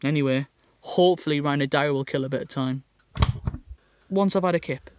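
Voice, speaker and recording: An actor playing a sickly, self-pitying teenage schoolboy with a North East English accent in an audio drama monologue, glum and sardonic. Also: male voice, a little high, fairly quick, heavy background noise, lightly acted. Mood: bitter, sarcastic. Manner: glum, complaining, melodramatic.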